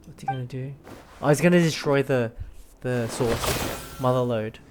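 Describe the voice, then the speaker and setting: A woman's voice: extremely low pitch, normal speed, background noise. A woman streamer giving casual live reaction commentary, emotionally invested and exclamatory. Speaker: gameplay streamer. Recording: game music behind the voice.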